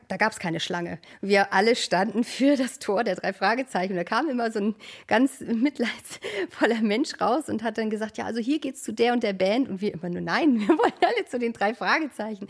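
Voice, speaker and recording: A woman's voice: medium pitch, creaky. A woman in her thirties or forties, speaking heartfelt and emphatically as a fan in an interview. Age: 30s to 40s. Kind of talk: personal interview storytelling.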